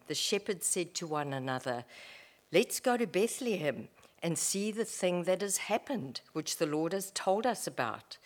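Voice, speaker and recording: A woman reading a Bible passage aloud in a measured, careful way. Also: low voice. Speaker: scripture reader. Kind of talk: scripture reading.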